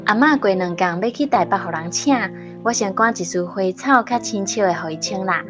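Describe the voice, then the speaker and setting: Expressive Taiwanese mother, acted by a woman, music behind. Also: mid-range voice, a little fast, lightly acted. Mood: busy, affectionate. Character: caring, hardworking mother who sews for her daughters. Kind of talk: radio drama acting in Taiwanese Hokkien. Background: background music.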